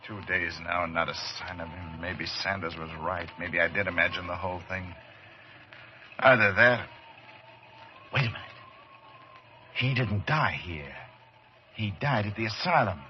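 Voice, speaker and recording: A man playing an obsessive, excitable ghost-hunting doctor in a radio horror drama, speaking in a theatrical, halting, fervent way. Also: deep voice. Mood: brooding. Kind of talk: old time radio horror acting. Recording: dull, hissy old radio recording.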